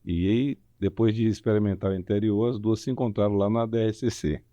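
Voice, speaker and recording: A middle-aged man, chatting as an interview co-host in halting, hesitant phrases. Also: lowish voice, fairly even intonation, mellow, dry recording, lo-fi sound. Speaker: podcast co-host. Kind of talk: unscripted interview chat.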